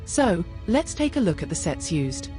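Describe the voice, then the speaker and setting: A woman narrating a scripted gaming build guide in a measured, explanatory way. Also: lowish voice, big pitch swings, warm tone. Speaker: gaming video creator and narrator. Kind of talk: game build guide voice-over. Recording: music behind the voice. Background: music.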